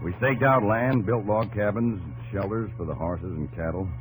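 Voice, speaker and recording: A man's voice: lowish voice, normal speed, soft background music, close to an everyday voice, poor audio. An American actor playing a plainspoken, stubborn pioneer farmer who tells his story in a folksy drawl, in a 1950s radio drama. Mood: reminiscing. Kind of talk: 1950s radio drama acting, narrating in character. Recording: old 1950s radio broadcast recording.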